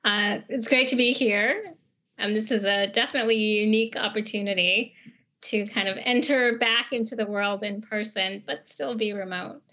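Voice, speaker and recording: A young woman speaking as a panelist from home over a video call, relaxed and full of fillers. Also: medium pitch, normal speed. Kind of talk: casual panel talk. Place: home room by window, remote. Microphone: earphone mic on video call. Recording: slightly dull video-call audio.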